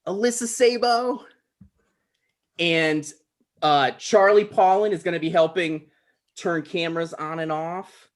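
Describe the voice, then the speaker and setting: Halting, high-pitched man hosting a livestream. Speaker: host and writer introducing a table read. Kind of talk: casual live introduction. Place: video call.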